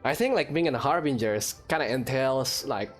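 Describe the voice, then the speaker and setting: Low-pitched woman chatting over a game. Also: expressive, normal speed, soft background music. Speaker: gamer narrating a playthrough. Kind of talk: casual game commentary with reading aloud.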